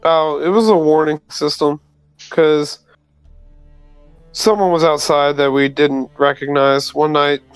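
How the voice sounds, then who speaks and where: A man's voice: on the high side, steady tone, unhurried, long pauses, smooth, no room sound, soft background music. A man playing a tabletop roleplaying game, talking casually and a bit tentatively. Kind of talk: casual in-character roleplay chat.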